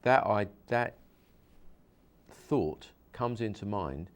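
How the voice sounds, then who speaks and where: Deep-voiced man explaining hypnosis. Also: animated. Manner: earnest, explains slowly with repetitions and restarts. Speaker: hypnosis practitioner and interviewer. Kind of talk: explanatory interview talk.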